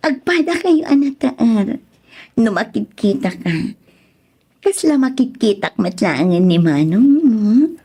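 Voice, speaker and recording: An actress with a northern Philippine accent playing a playful, flirtatious sister-in-law in a radio drama, coaxing and lively. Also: female voice, on the high side, slow pace, breathy edge, full character voice. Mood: teasing.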